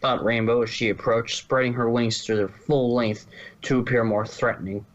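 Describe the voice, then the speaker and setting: A man's voice: mid-range voice, level tone, normal speed, muffled recording. A man reading scripted story narration, now and then stumbling and rereading a phrase.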